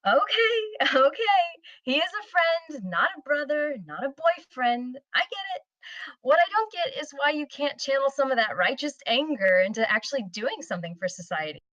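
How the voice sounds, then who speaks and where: A woman's voice: sits high, animated, normal speed, fairly bright tone, dry recording. A woman playing a protective, teasing aunt at a screenplay table read. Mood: teasing, affectionate. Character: teasing, protective aunt who raised her niece. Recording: slightly dull, lo-fi microphone sound.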